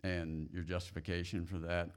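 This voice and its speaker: A man's voice: sits low, little pitch movement, moderate pace, clean tone. A man moderating a meeting, informally explaining procedure to the group and often repeating and rephrasing himself.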